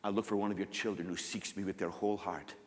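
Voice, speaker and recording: A solemn, hushed divine voice, as a man voices God, low and half-whispered. It carries a long echo as in a hall. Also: fairly even intonation, fast talker, close to an everyday voice.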